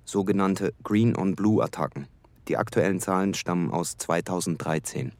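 Very deep-voiced factual male commentator. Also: normal speed, darkish tone. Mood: dry, factual. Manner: dry, factual. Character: matter-of-fact male expert reciting facts and figures. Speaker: actor in radio drama. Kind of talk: factual documentary-like interjections in radio drama.

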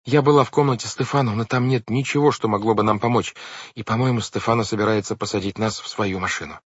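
A man's voice: fairly low pitch, steady tone, quick pace, husky, no echo, pitched above the performer's own voice. A man voicing an anxious, earnest young girl from a storybook in an urgent, worried manner. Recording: dull, lo-fi, dry recording.

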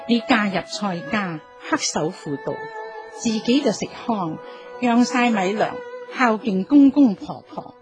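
An actress playing a commanding yet compassionate empress dowager in a Cantonese radio drama, formal and deliberate in manner. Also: female voice, medium pitch, expressive, natural voice. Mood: kindly, probing. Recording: music behind the voice.

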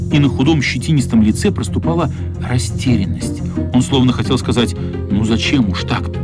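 A man narrates a story as part of a radio play. His voice sits at a middling to slightly higher pitch, and music plays clearly behind him.

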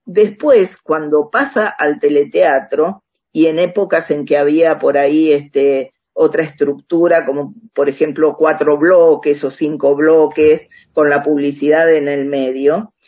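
Slow, halting Argentine woman interviewee. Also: low voice, steady tone, muffled recording. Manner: halting, reflective, frequent tag questions. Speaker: author interviewed about radio drama. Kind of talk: conversational radio interview.

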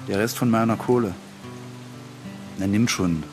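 Low-voiced weary working man played by an actor. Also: unhurried, music in the background, natural voice. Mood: defiant. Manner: terse, clipped phrases. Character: weary, defiant working-class drifter, fed up. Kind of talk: naturalistic radio play acting with terse inner narration.

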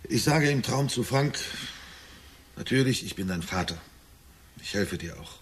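An actor playing a gloomy middle-aged husband in a German radio drama, speaking in a measured storytelling way with dry irony. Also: male voice, mid-range voice, expressive, a little hiss or hum. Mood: agitated. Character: gloomy middle-aged husband recounting a nightmare, wryly forbearing.

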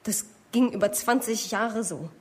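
A woman's voice: fairly high pitch, unhurried, darkish tone, slightly rough. An actress playing a shaken young female witness in a German radio drama, hurt and hesitant, repeating words as she goes.

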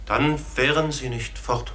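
A man acting in a German radio play as a forlorn outcast raven, speaking gravely and haltingly with a lamenting, breathy delivery. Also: medium pitch, steady tone, sharp tone. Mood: sorrowful, lost. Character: forlorn talking male raven, outcast and afraid.

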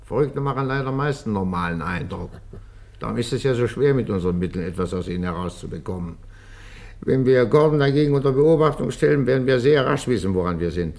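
An actor playing a dismissive, self-assured police inspector in a German radio crime drama, speaking with condescending authority. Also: male voice, mid-range voice, lively intonation, quick pace, darkish tone, natural voice. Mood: dismissive, authoritative.

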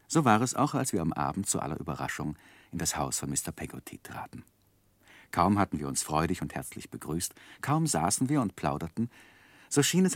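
A grown man looking back on his life as narrator of a 1960s literary radio play. His voice is low and sits in a dry studio sound. He speaks in a measured, reflective way with careful articulation and quiet feeling. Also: no room sound, exaggerated voice.

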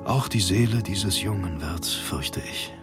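An actor playing a solemn, formal polar expedition captain in a radio play, grave and gravelly, with stately old-fashioned diction. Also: male voice, low-pitched, unhurried, over loud music. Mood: mournful, pious. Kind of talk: dramatic radio play monologue.

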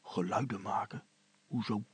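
A man playing a grumpy, cautious father who speaks in a hushed, grumbling tone. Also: fairly even intonation, a little slow, breathy edge, dry recording. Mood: sceptical. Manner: hushed, grumbling, low-key. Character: grumpy but cautious father, older than his boy.

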